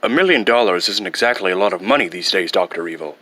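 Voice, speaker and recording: Man acting villain's henchman executive, comedy film, lowered pitch, measured, matter-of-fact, correcting tone, dry recording. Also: big pitch swings, bright, forceful tone, no room sound, heavily acted. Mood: dry, corrective. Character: smooth, matter-of-fact male villain's second-in-command. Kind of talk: comic film impression.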